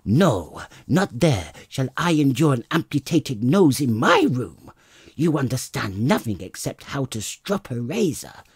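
A man voicing a furious barber's wife who screams insults at her husband, raising his voice high and loud. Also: sing-song, measured pace, breathy edge, no room sound, clean studio sound, exaggerated voice. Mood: furious scolding. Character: shrill scolding middle-aged barber's wife.

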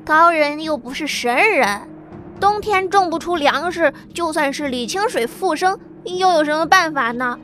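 Woman playing a high, bright-voiced young man, blunt and offhand, audio drama over soft music. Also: lively intonation, moderate pace.

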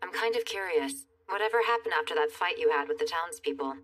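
Casting: a dry, blunt animated general. Low, sharp-edged voice, formal deadpan, slightly off-mic. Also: female voice, fast talker, recorded from a distance, faint music behind. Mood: dry needling. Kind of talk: animated dub acting.

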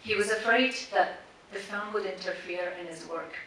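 A woman whose first language is Persian gives a measured, prepared memorial speech from a cinema stage, pausing deliberately between listed words. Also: mid-range voice, a bit bright. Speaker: speaker introducing a tribute screening. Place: large cinema auditorium, standing on stage. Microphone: stand mic, recorded by a distant camera in the audience. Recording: echoey cinema from far off with faint hiss.

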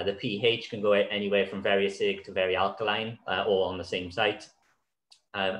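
A man, giving an online conference talk over slides in a relaxed way with many fillers, his voice a little hoarse. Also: low-pitched. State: slightly hoarse. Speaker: conservation speaker. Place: small room at home. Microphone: video call. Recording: echoey room on a video call.

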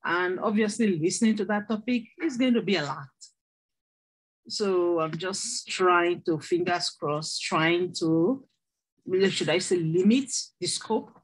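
A woman's voice: lowish voice, measured pace, breathy edge, low-quality recording. Chatty woman student on a video call. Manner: chatty, laughing, with restarts. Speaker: doctoral student. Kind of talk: online class self-introduction.

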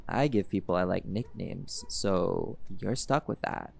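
A man's voice: low-pitched, a little slow, vocal fry, full character voice. An actor voicing a laid-back, teasing male jaguar shifter in an audio roleplay, blunt and flirtatious, with music playing behind.

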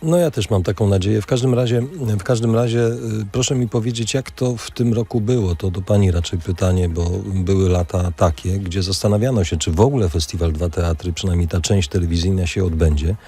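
A man's voice: low voice, a little fast, few pauses, dark tone, breathy edge. A man interviewing guests in conversational speech in a radio studio.

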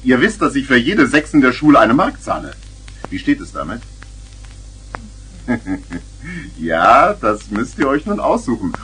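An actor playing a jovial, tippling old uncle who tells tall tales theatrically in a 1970s children's radio play. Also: male voice, medium pitch, a bit bright, a little hiss or hum.

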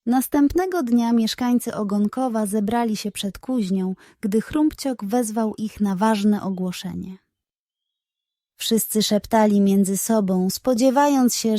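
A woman's voice: medium pitch, a little slow, mellow, dry recording, studio quality. A woman storyteller reading a scripted children's bedtime story.

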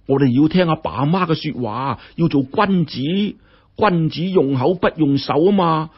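A man plays a mischievous young master in an audio story. The boy is excitable and conspiratorial, speaking Hong Kong Cantonese in a raised, swooping, rough-edged voice. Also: on the high side, normal speed, lo-fi sound, pitched above the performer's own voice. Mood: nervous plotting. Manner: conspiratorial, eager, colloquial.

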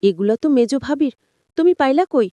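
A woman's voice: high-pitched, lively intonation, normal speed, dark tone, no room sound. A woman playing a devoted but suspicious village wife in an audio story, with colloquial, questioning rural Bengali speech.

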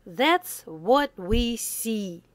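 A woman reads a children's picture book aloud at a very slow, deliberate pace. Her pitch rises and falls in a lively sing-song way. The recording is dry and close. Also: no room sound. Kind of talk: slow read-aloud for young learners.

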